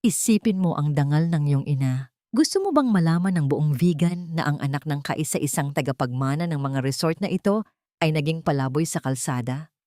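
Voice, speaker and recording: A cruel older matriarch played by a woman narrator in an audiobook melodrama, with a Filipino accent. She is low and commanding, pressing out threats in a dry, close recording. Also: lively intonation, normal speed, dark tone, no echo. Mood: menacing. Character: cruel, greedy older aunt, domineering rich matriarch.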